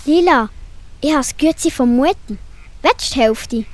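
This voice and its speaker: A woman's voice: sits high, animated, moderate pace, no room sound. A woman with a Swiss German accent playing a friendly, earnest young animal girl in a children's audio play. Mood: friendly, eager.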